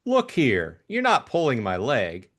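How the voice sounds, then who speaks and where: A man voicing a lighthearted, teasing young gentleman in an audiobook, with an exclamatory, musing manner. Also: medium pitch, wildly swooping pitch, unhurried, heavily acted. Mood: doubtful. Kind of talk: audiobook character acting.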